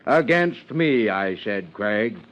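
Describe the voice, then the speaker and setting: An actor playing a proud, irritated small-town sheriff in a 1950s radio drama, blustering and hostile. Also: male voice, lowish voice, animated, slow pace. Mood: angry, scornful. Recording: muffled 1950s radio recording.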